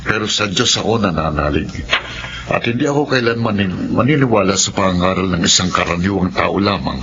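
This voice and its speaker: Brief: a doubtful, firm husband, low voice, conversational radio drama delivery, muffled sound. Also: male voice, fairly quick, fairly bright tone, slight background noise. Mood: firm, skeptical. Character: skeptical, firm, God-fearing husband. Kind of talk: Philippine radio horror drama acting.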